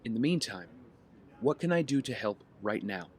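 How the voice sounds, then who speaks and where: A man's voice: on the low side, sing-song, moderate pace. A man playing an earnest, determined wealthy young man in an audio drama, with a probing way of talking.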